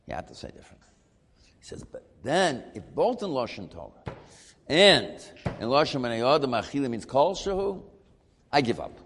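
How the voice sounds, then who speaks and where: A man's voice: medium pitch, normal speed, a little hiss or hum. Man teaching a Talmud class in a hall. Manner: animated, questioning, mixing Hebrew terms.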